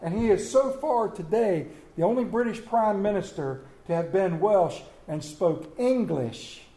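A man preaching a Mother's Day sermon, folksy and storytelling, with dramatic slow pauses on quoted lines. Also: sits high, animated, mellow. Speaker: pastor. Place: church sanctuary. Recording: echoey church room.

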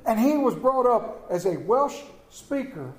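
A man preaching a Mother's Day sermon, folksy and storytelling, with dramatic slow pauses on quoted lines. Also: very high-pitched, big pitch swings, a little mellow. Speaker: pastor. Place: church sanctuary. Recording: echoey church room.

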